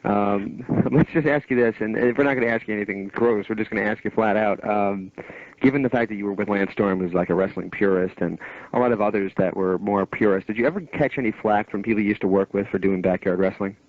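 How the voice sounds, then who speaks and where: A man's voice: on the low side, fast. A man hosting a casual radio interview, chatting with a guest. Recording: dull, lo-fi radio broadcast audio.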